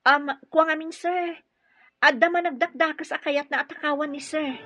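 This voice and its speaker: A woman's voice: sits high, steady tone, moderate pace, pushed and bright, no room sound, lo-fi sound, faint music behind. An actress playing a polite young female nurse who speaks deferentially to her superiors in an Ilocano radio drama. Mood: polite, evasive. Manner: respectful, addressing superiors as sir.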